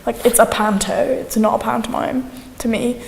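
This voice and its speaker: A woman's voice: a little high, fairly even intonation, moderate pace, vocal fry, slight background noise. A woman talking casually in an interview. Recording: echoey reverberant hall.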